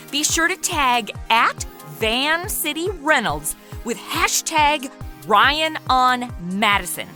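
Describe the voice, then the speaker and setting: Bright, high-voiced woman hosting a podcast. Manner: peppy, informal. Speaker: podcast host. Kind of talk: scripted chatty podcast narration and promo.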